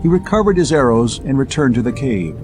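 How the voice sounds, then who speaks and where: A man's voice: mid-range voice, big pitch swings, brisk. A man reading scripted pulp science fiction narration as an audiobook, with music playing behind him.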